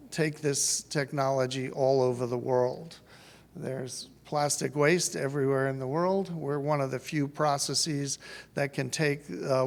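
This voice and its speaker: A man's voice: medium pitch, creaky. A man in his sixties giving a business presentation at a conference podium. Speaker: company founder presenting at a conference. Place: large conference hall at a podium. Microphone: podium microphone. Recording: reverberant conference hall sound.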